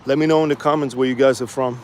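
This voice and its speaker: A man from Sweden casually chatting to viewers while walking outdoors by the water, relaxed and rambling with many fillers. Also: medium pitch, very fast. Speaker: vlogger. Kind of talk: casual live-stream walking vlog. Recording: faint outdoor street noise.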